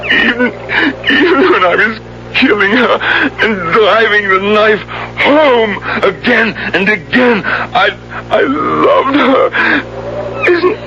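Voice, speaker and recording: An actor playing a deranged, bitter, self-pitying killer in a radio drama, halting and repeating his words as he rambles. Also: sits high, expressive, sharp tone, full character voice. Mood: anguished, unhinged. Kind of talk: 1970s radio drama acting. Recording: dull, hissy old radio recording.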